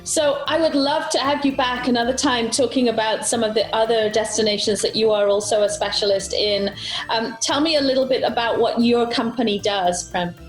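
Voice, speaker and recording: A woman's voice: a little high, steady tone, normal speed, soft background music, poor audio. A woman from Britain hosts a travel show and chats with her guests on a video call, laughing easily.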